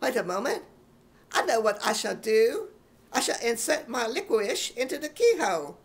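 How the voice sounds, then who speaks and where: A man's voice: extremely high pitch, very animated, moderate pace, creaky, full character voice. A man voicing a naive, boastful little schoolboy in an imitation British accent for radio comedy. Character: naive, boastful little schoolboy who reads his stage directions.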